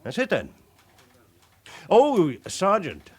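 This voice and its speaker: A man acting a stern, brisk chief inspector in a British radio drama, authoritative and clipped in his delivery. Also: high voice, wildly swooping pitch, slightly rough, heavily acted. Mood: brisk, commanding. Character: stern, brisk male police chief inspector, dryly commanding.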